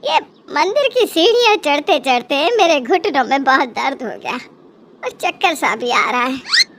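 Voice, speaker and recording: A woman plays an elderly cartoon mother-in-law with a high, lively voice that swings widely. She talks slowly and syrupily, milking her self-pity like a martyr. There is noise behind her. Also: heavily acted. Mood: sweetly caring. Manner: melodramatic, self-pitying, syrupy. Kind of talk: Hindi cartoon moral story acting.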